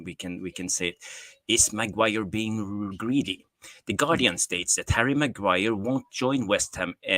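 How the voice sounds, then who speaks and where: A man's voice: fairly low pitch, fairly bright tone, no room sound. A man co-hosting a casual live fan show, upbeat and full of "uh" hesitations as he reads viewer comments, with slightly non-native English phrasing. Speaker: co-host of a fan call-in show.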